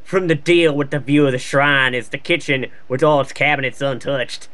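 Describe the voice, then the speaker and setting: A man's voice: medium pitch, steady tone, normal speed, a bit bright. A wisecracking man bantering casually and reading a story aloud for comedy. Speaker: co-host reading and commenting.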